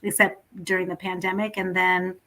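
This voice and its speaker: A woman from Ethiopia, a singer guest, speaking warmly and reflectively in a casual interview over a video call. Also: fairly low pitch, little pitch movement, moderate pace. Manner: warm, reflective, laughs easily.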